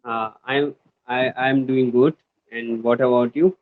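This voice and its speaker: A man's voice: mid-range voice, fairly even intonation, unhurried, no room sound, phone-quality sound. A young man from India with an Indian accent, learning English and talking hesitantly with many fillers. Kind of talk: casual conversation lesson.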